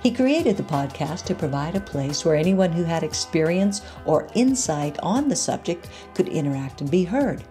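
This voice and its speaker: A woman hosting a podcast, reading a scripted case report and introduction in a measured, earnest way. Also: low-pitched, sing-song, warm tone, faint music behind. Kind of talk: scripted narration.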